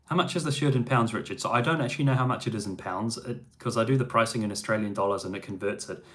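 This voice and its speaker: A man's voice: on the low side, quick pace, fairly bright tone. A man from New Zealand hosting a music livestream, chatting casually and answering viewer questions with frequent ums and restarts.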